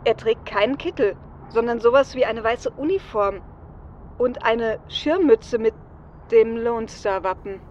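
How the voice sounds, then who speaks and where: A woman's voice: on the high side, a little slow, darkish tone, natural voice. A woman playing a flippant female hacker on a heist team in an audio drama, speaking casually. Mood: tense reporting. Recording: dull, noisy audio.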